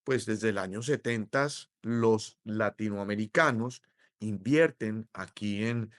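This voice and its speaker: A middle-aged Colombian man with a Colombian accent, explaining mortgages as an interview guest in a measured way, with many hesitations. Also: fairly low pitch, no echo, studio quality. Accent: Colombian Spanish. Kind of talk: interview conversation.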